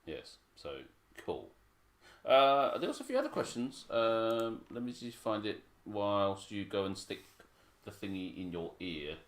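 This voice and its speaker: A man from Hong Kong with a very deep voice, chatting and joking as he co-hosts his son's piano livestream and reads out viewer comments. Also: very animated, a little slow. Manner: chatty, joking, self-mocking. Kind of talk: casual chat reading viewer comments.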